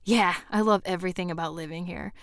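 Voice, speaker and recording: A woman's voice: lowish voice, steady tone, fast, no echo, clean studio sound, lightly acted. A woman voicing a jovial, pushy, talkative man in an audiobook, with a folksy, hearty manner. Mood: enthusiastic. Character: jovial, pushy conservative man, a chatty host. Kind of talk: audiobook character dialogue.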